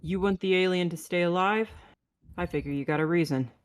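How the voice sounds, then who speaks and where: A man's voice: high voice, fairly quick, smooth, close to an everyday voice. A man playing a terse, weary spaceship captain in a sci-fi script table read. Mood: suspicious.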